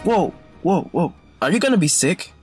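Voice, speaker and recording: A woman playing a casual, slangy school kid with an excitable manner in an audio drama. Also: lowish voice, moderate pace, breathy edge, faint music behind. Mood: concerned. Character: casual, alarmed school kid, helpful then panicky.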